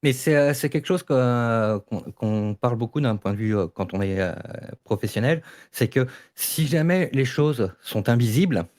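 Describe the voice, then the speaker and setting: A man's voice: medium pitch, expressive, normal speed, darkish tone. A man talking informally in a debate, explaining his views in an emphatic, colloquial way. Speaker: audio fiction maker in a panel debate. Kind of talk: informal debate, casual discussion.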